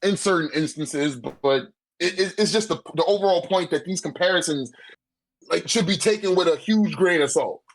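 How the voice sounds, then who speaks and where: A man's voice: fairly high pitch, moderate pace, rough recording. A man arguing emphatically in casual, slangy talk, as in a debate between friends over manga.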